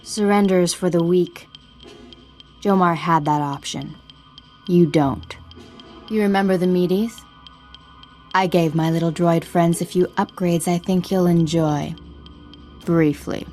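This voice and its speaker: Woman playing a mocking, low-voiced dark Jedi villainess. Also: fairly even intonation, unhurried, pauses often, faint music behind, lightly acted. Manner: mocking, seductive. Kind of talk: dramatic video game dialogue.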